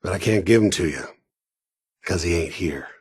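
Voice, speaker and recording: A cold, world-weary video game villain, a middle-aged American gun for hire with an extremely low, heavily rasping voice. He speaks in a laconic, sardonic way with quiet menace. The sound is close and dry. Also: normal speed, no echo. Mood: grim, taunting. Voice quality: gravelly, raspy. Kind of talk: video game voice acting, cinematic monologue.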